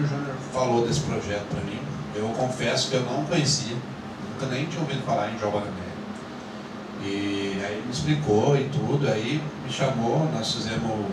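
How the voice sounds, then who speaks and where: A man with a São Paulo countryside accent, an actor casually telling an audience about a role, rambling with many fillers. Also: mid-range voice. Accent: Brazilian Portuguese, São Paulo countryside. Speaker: actor at a film screening. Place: screening room with audience. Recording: echoey room, distant mic, loud noise.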